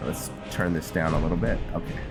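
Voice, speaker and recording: Male gameplay host, very low voice, casual and halting delivery, music clearly behind him. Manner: halting, thinking aloud with pauses mid-sentence. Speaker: gameplay host narrating a roleplay series. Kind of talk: casual, rambling gameplay commentary.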